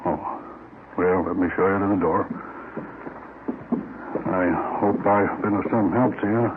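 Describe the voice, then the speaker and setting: Proud old retired military man, low, subdued, halting and courteous, muffled vintage radio drama with faint noise. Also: fairly even intonation. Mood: lonely, gracious. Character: proud, grieving, lonely old retired Marine colonel. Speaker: actor. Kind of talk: 1950s radio drama acting. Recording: muffled, slightly noisy old radio recording.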